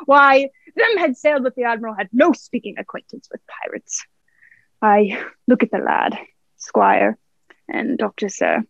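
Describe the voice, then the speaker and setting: A young woman playing a genial, flattering old one-legged sea cook in a radio play, with folksy, deferential sailor talk. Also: fairly high pitch, unhurried, gravelly, no room sound, muffled recording. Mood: hearty, smooth.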